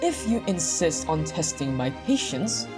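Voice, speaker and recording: Defiant harvest goddess read by a woman, low, grand and wrathful, visual novel character, music underneath. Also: sing-song, measured pace.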